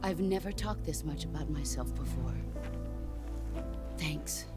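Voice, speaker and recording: A woman playing a stoic, world-weary middle-aged soldier and mentor in a game cutscene, speaking in a solemn, measured way. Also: low voice, over loud music. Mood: quiet, sorrowful. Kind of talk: dramatic game cutscene monologue.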